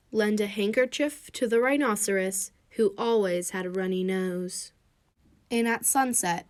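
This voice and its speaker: A woman reading a children's picture book aloud, expressive and warm, with a bright lift when she greets the listeners. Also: mid-range voice. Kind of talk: storybook read-aloud for kids.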